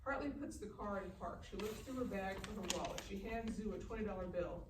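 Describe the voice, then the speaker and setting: A woman's voice: mid-range voice, brisk. A woman reading stage directions as scripted narration at a live table read. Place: live festival event room. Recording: echoey, distant, noisy live room.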